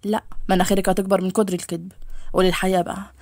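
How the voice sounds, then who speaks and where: A woman's voice: mid-range voice, little pitch movement, racing, a little mellow, no room sound, voice pushed down, natural voice. A woman voicing a teasing, apologetic young male suitor in story dialogue, with an Egyptian accent.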